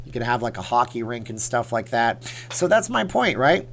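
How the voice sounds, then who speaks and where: A man's voice: mid-range voice, big pitch swings, moderate pace, gravelly, fairly bright tone. A middle-aged man from Canada hosting a casual livestream, telling a rambling story and talking straight to his chat. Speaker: livestream host.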